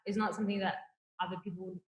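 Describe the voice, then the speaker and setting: A woman's voice: medium pitch, little pitch movement, very fast. A young woman with an Australian accent, co-hosting a casual chat and game, speaking easygoing and thoughtful with frequent laughter. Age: young adult. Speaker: co-host, PhD student. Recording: echoey room with long reverb.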